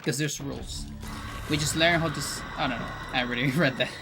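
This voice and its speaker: A man's voice: mid-range voice, steady tone, a little fast, vocal fry, over music, poor audio. A man from Chile, a native Spanish speaker with a Chilean Spanish accent, chatting casually with viewers while gaming, musing aloud with frequent restarts. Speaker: streamer.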